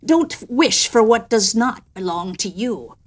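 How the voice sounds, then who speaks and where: Woman as small goblin, menacing, slow, lowered voice, warning tone, storybook villain. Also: expressive. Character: small menacing male goblin from a snuff box.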